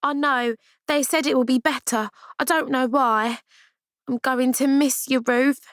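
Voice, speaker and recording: A woman's voice: high voice, fairly even intonation, unhurried, a bit bright, dry recording, studio quality, pitched above the performer's own voice. A woman voicing a frightened, tearful young orphan girl. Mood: sad.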